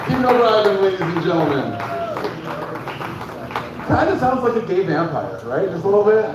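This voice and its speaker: A man hosting an open mic, loudly hyping up the next comedian with shouted introductions. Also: high voice, sing-song, moderate pace, dull audio. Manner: loud, shouting hype. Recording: echoey room from far off, loud crowd and noise.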